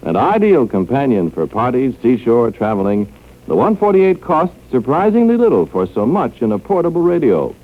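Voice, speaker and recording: An American man announcing a 1940s radio commercial with a polished, emphatic sales delivery. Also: medium pitch. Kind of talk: 1940s radio advertising read. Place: radio studio. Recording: tinny 1940s radio broadcast.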